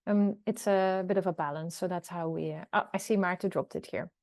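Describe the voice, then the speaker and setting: A woman's voice: on the low side, fairly even intonation, fairly quick, darkish tone. Woman moderating an online Q&A. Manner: friendly, relays questions and hands them to others. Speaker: event host for a college information day. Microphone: video call.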